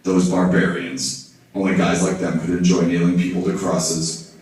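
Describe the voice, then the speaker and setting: Man playing a low-voiced hard-boiled puppet detective, echoey. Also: level tone, normal speed, darkish tone, close to an everyday voice. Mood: wry, joking. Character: wisecracking, down-on-his-luck hard-boiled male private detective puppet. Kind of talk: film-noir detective parody in a puppet show. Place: indoor hall, behind a puppet stage. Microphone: far camera in the audience. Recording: echoey room, distant audience camera.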